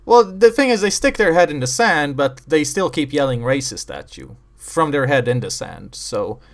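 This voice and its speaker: A man from Bosnia hosting an interview, asking calm, measured questions. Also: fairly high pitch. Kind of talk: conversational interview.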